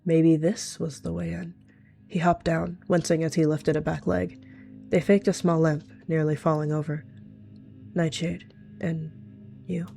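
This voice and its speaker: A storyteller narrating a game roleplay. The voice sits at a middle pitch and has a noticeable creak. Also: flat delivery, normal speed, dark tone, faint music behind.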